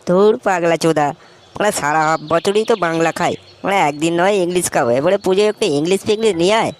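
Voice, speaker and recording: A man's voice: high-pitched, quick pace, slight background noise, lightly acted. A man voicing a broke, grumbling, booze-loving young slacker in a comic cartoon, dismissive and slangy, with a West Bengal Bengali accent.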